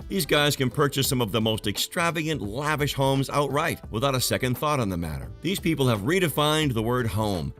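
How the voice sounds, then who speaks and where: A man's voice: medium pitch, fairly bright tone. A man reading scripted, documentary-style narration for a list video. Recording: music bed under narration. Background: background music.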